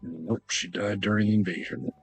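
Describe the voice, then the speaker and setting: Low, very husky male gamer. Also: steady tone, moderate pace, faint music behind. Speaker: game streamer. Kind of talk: casual playthrough commentary.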